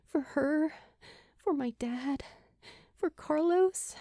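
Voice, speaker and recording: A woman voicing an earnest, grieving teenage girl in an audiobook, halting and emotional as she speaks. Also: sits high, mellow, no echo, studio quality, voice pushed up, exaggerated voice. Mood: wistful, vulnerable. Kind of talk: acted dialogue in audiobook narration.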